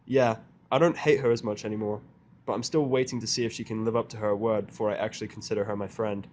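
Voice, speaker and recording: A man's voice: fairly low pitch, steady tone, fast, darkish tone, slight background noise. A man narrating a first-person story in character, with a dry, sardonic manner. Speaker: audiobook narrator.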